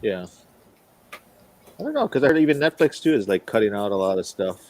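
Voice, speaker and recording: A man with a fairly low voice talks casually as a podcast co-host. He rambles and hedges, feeling his way through his thoughts in an informal chat. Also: very animated, a little fast, darkish tone. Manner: rambling, hesitant, hedging.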